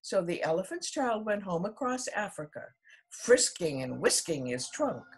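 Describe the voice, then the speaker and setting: A woman storyteller reading a children's story aloud expressively, in a husky voice. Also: mid-range voice, big pitch swings, measured pace, a little hiss or hum.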